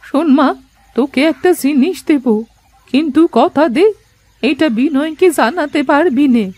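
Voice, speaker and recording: A woman voicing a gentle, motherly old beggar woman in a moral-story cartoon, speaking in a folksy, coaxing way. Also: high voice, unhurried, dry recording. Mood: warm, coaxing. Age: older. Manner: folksy, coaxing, addresses others as her children.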